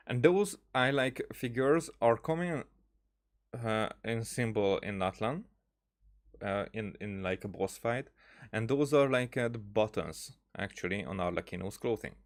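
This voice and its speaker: A man giving casual game commentary, thinking aloud in a halting, rambling way. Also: lowish voice. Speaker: gaming streamer.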